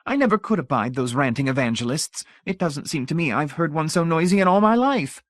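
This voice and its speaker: A man voicing a gushing, bland society matron with a high, fluttery voice. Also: lively intonation, a little fast, fairly bright tone, no echo, clean studio sound. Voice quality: high and gushy.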